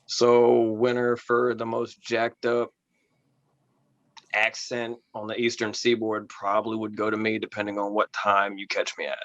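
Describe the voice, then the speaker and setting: A man from Florida doing a stand-up set with a calm, smooth, self-deprecating delivery over a video call. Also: lowish voice, measured pace, no echo, muffled recording. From Florida, USA. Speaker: stand-up comedy contestant. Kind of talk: stand-up set, then taking feedback.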